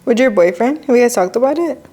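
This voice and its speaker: A woman's voice: on the high side, lively intonation, fast talker, warm tone, a little hiss or hum. A young woman from Mexico hosting a podcast, chatting casually and dropping Spanish phrases into her English. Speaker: podcast host and lash artist. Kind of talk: casual chat.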